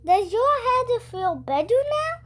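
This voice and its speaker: A reader voicing a brisk, bossy, chirpy woman school nurse with an emphatic, sing-song way of instructing. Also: very high-pitched, sing-song, a bit bright, full character voice. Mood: bossy, confident.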